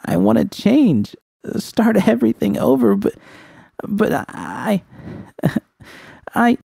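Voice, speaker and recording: A man acts out an exhausted woman full of self-loathing in a dramatic story. The voice is lifted high and dry, stammering and halting as it pleads. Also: huge pitch swings, vocal fry, mellow, slightly rough, no echo, heavily acted. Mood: anguished, weary.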